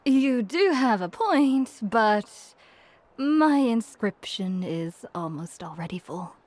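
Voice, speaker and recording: A woman voicing a chatty, warm-hearted village woman in a fantasy video game, explaining things in a slightly flustered way. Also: fairly high pitch, big pitch swings, very slow, exaggerated voice.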